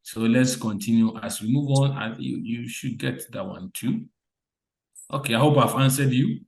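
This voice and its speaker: Un hombre imparte una clase en línea con voz de tono medio, grabado en una sala con eco. Repite las preguntas y pide respuestas breves a los estudiantes. Explica paso a paso, volviendo sobre cada idea. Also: male voice, mid-range voice, normal speed, gravelly, reverberant. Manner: repetitive call-and-response questioning. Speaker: lecturer. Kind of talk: online biostatistics lecture.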